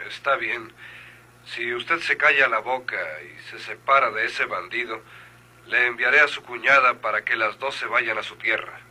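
An actor playing a stern, suspicious prosecutor in a Mexican radio soap, curt and authoritative, with a Mexican accent. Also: male voice, mid-range voice, fairly even intonation, moderate pace, sharp tone, breathy edge. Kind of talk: Mexican golden-age radio soap acting.

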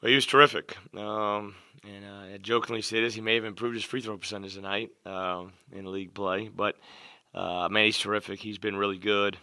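Middle-aged man, coach, postgame press conference, desk mic, measured with many fillers, lowish pitch, dry close sound. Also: steady tone, sharp tone, dry recording. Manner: measured, lots of "um" and "you know", repeats phrases. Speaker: head basketball coach. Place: press room, seated at a table before a logo backdrop. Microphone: desk mic on a stand in front of him.

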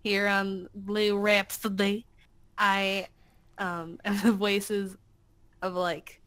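A woman's voice: mid-range voice, level tone, very slow, a bit bright, clean tone. A woman co-hosting in unscripted casual chat, playful and self-deprecating. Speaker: co-host, voice actor.

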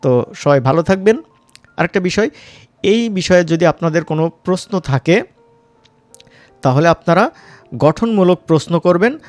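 Higher-pitched Bangladeshi man teaching casually. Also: expressive, moderate pace, pauses often, dry recording, soft background music. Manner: informal, mixes in English words. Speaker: animation tutorial instructor. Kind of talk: casual software tutorial narration.

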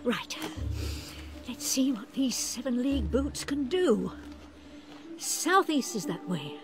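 An actress playing a blunt, determined heroine in a radio drama, forthright and expressive. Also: female voice, fairly high pitch, slow, background noise, heavily acted. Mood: anxious, resolute. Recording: music under the dialogue.

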